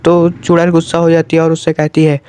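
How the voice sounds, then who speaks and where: A man's voice: a little high, monotone, a little fast, dark tone, clean tone. A man narrating a cartoon story in a scripted storytelling style.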